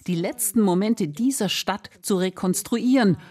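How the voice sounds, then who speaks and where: A woman's voice: medium pitch, unhurried, darkish tone. A woman reporter reading scripted narration for a radio feature.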